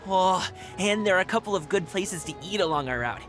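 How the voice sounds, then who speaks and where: A bright, cheery young guard. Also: male voice, high voice, lively intonation, fairly quick. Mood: friendly. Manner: warm, easygoing, laughs often. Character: cheerful young male guard, friendly and generous. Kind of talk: video game voice acting. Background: game music.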